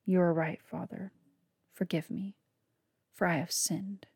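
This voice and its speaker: Woman narrator doing a taunting vampire son; low, gravelly, dry close sound. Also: fairly even intonation, a little slow, darkish tone, no room sound. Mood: mocking. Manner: taunting, sneering. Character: cruel young male vampire, mocking and arrogant.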